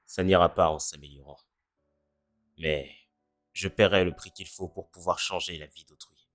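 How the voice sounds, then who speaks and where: A performer voicing a cynical, self-deprecating teenage boy in a French fan dub who speaks in a deadpan way. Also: low voice, moderate pace, no echo. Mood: earnest. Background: faint music.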